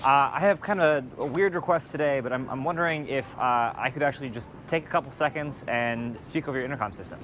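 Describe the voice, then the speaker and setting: A man politely making a request in conversation, sounding hurried and nervous. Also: medium pitch, a bit bright, slight background noise. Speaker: vlogger posing as customer making a request.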